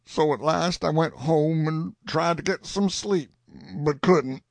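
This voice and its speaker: A grieving country witness, read by a man, tells his story in court plainly and somberly. His voice is high and gravelly, in folksy rustic dialect, recorded dry and close. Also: slow pace, no echo.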